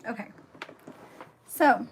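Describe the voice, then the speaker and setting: A young woman with a voice hoarse from a cold, chatting casually with viewers during a livestream and filling her talk with "like" and "um". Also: extremely slow. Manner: chatty, filler-heavy, talks to viewers in the comments. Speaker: livestream host. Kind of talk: casual livestream chat while doing makeup.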